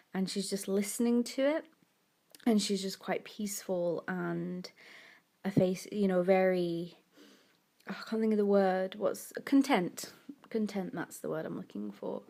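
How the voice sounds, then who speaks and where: A woman's voice: medium pitch, darkish tone. A woman, a bit sniffly from a cold, chatting in a rambling, self-correcting way while talking through her drawing. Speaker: art vlogger. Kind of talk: casual chat over a drawing.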